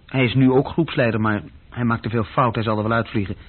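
An actor playing a bored, self-deprecating young male bookkeeping clerk in a radio drama, speaking haltingly. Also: mid-range voice, fairly even intonation, slight background noise, natural voice. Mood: confiding. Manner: halting, searching for words. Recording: muffled old radio recording.

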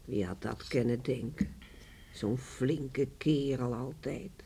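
A woman's voice: extremely low pitch, slow pace, vocal fry, warm tone, a little hiss or hum, full character voice. A woman playing a sympathetic, resigned older village woman in a radio drama, with a sighing, rueful way of talking. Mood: sighing, pitying.

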